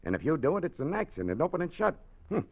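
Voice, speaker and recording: A man's voice: on the high side, very animated, fast, vocal fry, heavily acted. An actor playing a scheming gangster boss in a 1940s radio drama, talking in a hard, clipped tough-guy manner. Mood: menacing. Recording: dull old radio broadcast.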